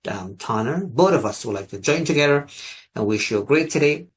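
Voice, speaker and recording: A man's voice: medium pitch, expressive, fairly quick, breathy edge. A man from Ghana hosting an online talk show over a video call, speaking formally with many fillers.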